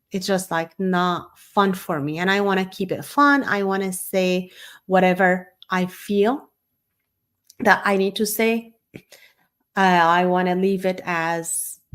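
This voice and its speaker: Slow, unscripted woman. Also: on the low side. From Syria. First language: Arabic. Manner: loose, rambling, frequent fillers and restarts. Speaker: host. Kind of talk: unscripted live talk.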